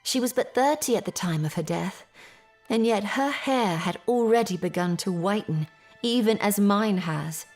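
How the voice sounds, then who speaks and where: A distressed young gentlewoman in a Victorian audio drama. She speaks formally and in measured phrases at a middle pitch, with clear music underneath. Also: animated. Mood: sorrowful. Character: distressed Victorian English gentlewoman recounting family misfortune. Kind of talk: period audio drama acting, narrative monologue.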